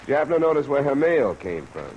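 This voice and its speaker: An actor playing a hard-boiled, world-weary insurance investigator, terse and dry, in 1950s radio detective style. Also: male voice, mid-range voice, very animated, fast talker, darkish tone, muffled recording, natural voice. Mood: probing. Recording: vintage radio recording, clear rain noise overlaid.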